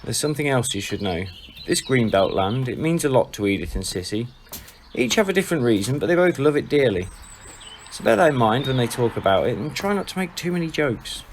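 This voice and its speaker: A man playing a perceptive, laid-back and quietly frank friend in an audio drama, unhurried and matter-of-fact. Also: mid-range voice, big pitch swings, slight background noise. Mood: frank, calm.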